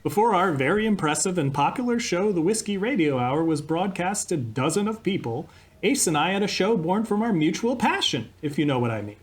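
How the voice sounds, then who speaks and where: A man's voice: high voice, very animated, fairly quick, a little hiss or hum. Guy doing a chirpy punny radio cohost, voice bouncing up and down all over. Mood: cheerful. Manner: eager, punning storyteller. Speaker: co-host of a radio comedy sketch. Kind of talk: live radio comedy acting.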